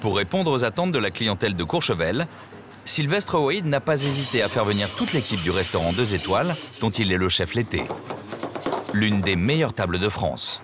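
A man reading scripted documentary narration in a measured storytelling way. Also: fairly low pitch, very animated, music in the background.

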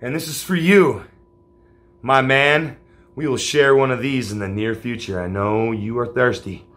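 Male host, 40s, loud and hyped, bright forceful tone, casual swearing. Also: medium pitch, a little slow. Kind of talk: energetic show intro. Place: home room, solo on camera.

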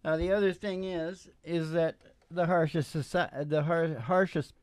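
An older man hosting a live show, chatting casually and haltingly between songs in a folksy, joking way. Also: a little high, fairly even intonation, no echo. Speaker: host and musician of a live radio show. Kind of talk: casual rambling stage chat between songs. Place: small indoor stage with curtain backdrop. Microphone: stage microphone on a stand.

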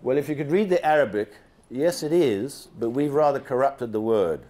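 A man's voice: medium pitch, moderate pace. Older Zambian-born man giving a slide talk. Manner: animated, asks the audience questions, gestures at slides. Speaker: guest speaker. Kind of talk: slide presentation to an audience. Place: large banquet hall with seated audience. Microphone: handheld microphone.

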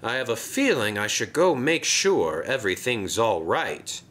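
A man's voice: mid-range voice, very animated, fairly bright tone, voice pushed up. A man doing a fussy, commanding railway boss. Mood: formal. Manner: formal, brisk. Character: stout fussy male railway director, commanding.

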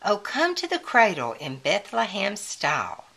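A woman reading a children's picture book aloud in a deliberate manner, as if counting along with young listeners. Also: medium pitch, huge pitch swings, vocal fry, fairly bright tone.

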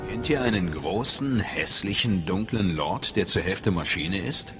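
A haughty young villain's apprentice in a video game. His voice is deep and swoops with sardonic confidence. Long reverb and loud music surround it. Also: expressive, unhurried. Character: cocky, sardonic male Sith apprentice. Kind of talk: scripted video game acting in German.

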